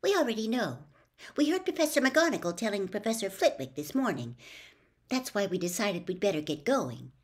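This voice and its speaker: A woman's voice: medium pitch, big pitch swings, brisk, voice pushed up, lightly acted. An older woman voicing an earnest, bossy, clever schoolgirl as she reads a storybook. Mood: brisk.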